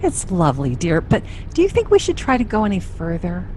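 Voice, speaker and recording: Lively, patient sitcom mother. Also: fairly low pitch, brisk, slight background noise. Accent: American. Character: gently doubting, patient, practical sitcom mother, middle-aged. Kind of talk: 1950s radio sitcom acting.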